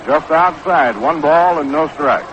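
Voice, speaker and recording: A man calling baseball play-by-play on 1960s radio, measured and descriptive, on an old broadcast recording. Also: on the high side, a bit bright. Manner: measured, descriptive calls that quicken on action. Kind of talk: 1960s live radio sports commentary. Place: outdoor ballpark broadcast position. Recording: noisy, dull vintage 1960s radio broadcast.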